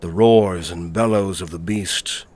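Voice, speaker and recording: Guy doing a calm druid teacher, low voice, slow and solemn, fantasy audio drama. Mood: grave. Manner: measured, gently solemn. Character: fatherly druid master, calm mentor to a young apprentice.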